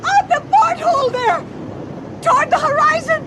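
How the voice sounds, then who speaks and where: On a noisy old radio recording, an anxious wife with a high-pitched voice. She is hesitant and fretful, full of doubt, in the dramatic manner of a radio thriller. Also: moderate pace, over a noisy crowd. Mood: frightened awe. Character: anxious, doubting wife plotting to hide a corpse.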